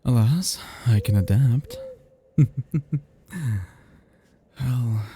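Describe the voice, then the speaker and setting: Man playing a low, dark, possessive vampire. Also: gravelly, a little hiss or hum, full character voice. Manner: slow, teasing, archaic turns of phrase. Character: possessive seductive male vampire, menacing yet teasing. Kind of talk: intimate ASMR roleplay acting.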